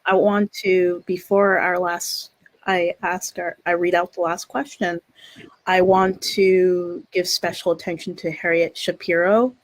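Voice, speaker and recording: Woman, event moderator: slightly low voice, halting, effusive delivery for an online panel. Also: little pitch movement, rough recording. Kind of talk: hosting a live online discussion.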